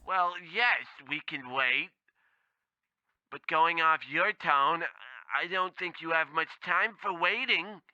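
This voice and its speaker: A man's voice: a little high, takes it slowly, pushed and bright, no room sound, highs cut off, exaggerated voice. A man playing a blithely tactless temp agency clerk who rambles and bumbles in a comedy radio play. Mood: bluntly discouraging. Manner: rambling, bumbling, bluntly insensitive.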